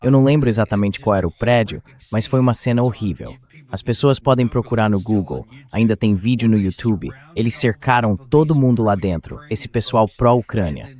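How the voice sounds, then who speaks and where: A man's voice: lowish voice, animated, a little fast, darkish tone. A man with a Brazilian accent speaking as an interview guest in a translated voice-over, explaining in a conversational way. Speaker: interview guest giving political commentary.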